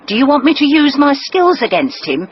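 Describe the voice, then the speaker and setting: Vain desert fairy with swooping voice. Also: female voice, high-pitched, big pitch swings, normal speed, highs cut off, a little hiss or hum. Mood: jealous, cold. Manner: coquettish, probing, theatrical. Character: vain, jealous older female desert fairy in love. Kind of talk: audio fairy-tale drama acting.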